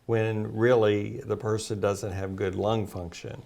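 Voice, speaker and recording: A man's voice: on the low side, little pitch movement, a little slow, gravelly, a little mellow. A man speaking as a naturopath guest, explaining at length with many fillers and restarts. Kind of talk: casual health talk.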